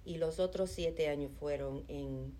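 A middle-aged woman from Chicago, answering interview questions calmly and earnestly, with hesitant fillers. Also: low-pitched, a little slow. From Chicago, United States. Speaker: interviewee, nonprofit administrative assistant.

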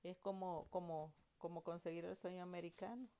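Mother, halting and reflective. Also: lowish voice, flat delivery, mellow. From El Salvador. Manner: thoughtful, with many hesitations and repeated words. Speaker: interviewee, mother. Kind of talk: personal storytelling interview.

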